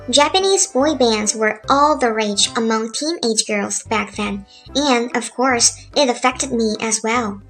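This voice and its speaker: High-voiced Korean woman, laid-back tutor. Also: a bit bright. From South Korea. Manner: chill, laid-back, chatty. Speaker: language tutor. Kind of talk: relaxed scripted narration over drawings. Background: faint music.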